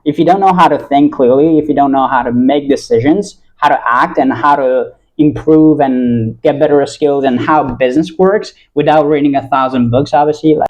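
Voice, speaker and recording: A man's voice: medium pitch, darkish tone, highs cut off. A young man with an American accent talking casually and earnestly to his viewers in a rambling, self-correcting monologue. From Italy. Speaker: YouTube vlogger.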